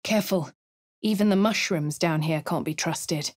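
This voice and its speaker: A woman plays a posh female adventurer with a posh English accent, wry and composed. Her voice sits fairly low for a woman and comes across crisp and dry. It is recorded in a dead, dry studio booth with no room sound. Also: normal speed, no room sound, natural voice. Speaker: voice of the player character. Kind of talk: game voice lines and narration.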